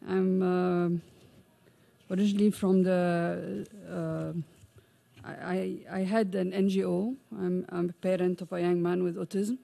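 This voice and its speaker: Soft-toned woman from Egypt, halting. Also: lowish voice, flat delivery, vocal fry. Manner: hesitant, many fillers and restarts. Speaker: audience member, parent advocate. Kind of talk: conference floor comment. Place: large conference room. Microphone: desk microphone.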